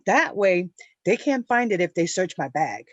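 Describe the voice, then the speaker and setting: A chatty, daring girl whispers her secrets to a friend, as read at a table read. The voice is mid-pitched and sounds dull and lo-fi. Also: sing-song, normal speed. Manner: gossipy, confiding. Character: chatty, rebellious young girl. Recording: dull lo-fi audio.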